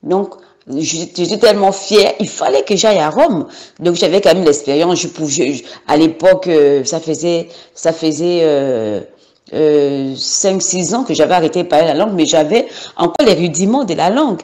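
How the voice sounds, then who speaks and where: A woman's voice: sits low, moderate pace, darkish tone. Hesitant woman giving a personal testimony. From Gabon. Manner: hesitant, many fillers and repetitions.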